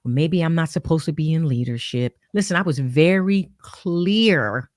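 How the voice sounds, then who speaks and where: A woman's voice: deep voice, moderate pace, no echo, studio quality. A woman hosting a faith-based leadership talk, speaking emphatically and building her points through analogies.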